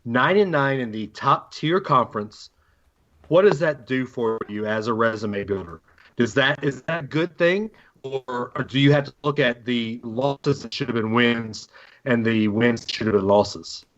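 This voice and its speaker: A man's voice: mid-range voice, expressive, a little slow, a bit bright. A man hosting a sports talk show, putting conversational questions to his co-hosts. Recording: dull, narrow-band lo-fi sound.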